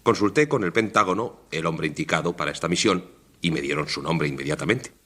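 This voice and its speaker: An actor in a radio drama playing a stern, solemn government chief with a husky voice and formal, commanding delivery. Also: male voice, low-pitched, a little fast, pushed and bright, heavily acted.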